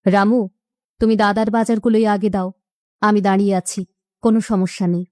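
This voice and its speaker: A woman's voice: mid-range voice, flat delivery, moderate pace, a little mellow, no echo, clean studio sound, lightly acted. A woman playing a weary, plaintive widowed village mother in a cartoon. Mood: meek, hesitant. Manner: plaintive, careworn. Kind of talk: cartoon voice acting.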